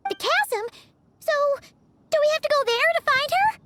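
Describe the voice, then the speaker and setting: A woman voicing a tiny, excitable fairy companion in a video game, squeaky, childlike and blunt. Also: moderate pace. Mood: puzzled. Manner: exclamatory, blunt, eager questioning. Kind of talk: cartoonish video game dialogue.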